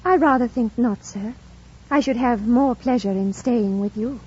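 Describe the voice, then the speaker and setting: Devoted young governess: fairly high voice, restrained, precise, polite. Muffled vintage radio drama. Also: female voice, measured pace, a little mellow, breathy edge. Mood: devoted, steady. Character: devoted, composed young governess, quietly steadfast. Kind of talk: mid-century radio drama acting and narration. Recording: muffled old radio broadcast.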